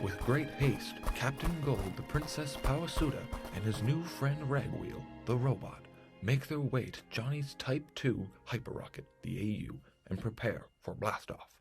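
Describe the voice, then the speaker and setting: A man's voice: mid-range voice, lively intonation, moderate pace, over loud music. A man delivering scripted narration for an adventure serial.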